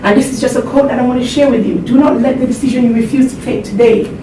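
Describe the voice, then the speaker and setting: A woman's voice: fairly even intonation, fast talker. A woman from Jamaica giving an earnest motivational talk to a seminar audience in a room. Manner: earnest, laughs along with the audience. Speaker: motivational speaker. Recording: echoey hall, distant mic, background rumble.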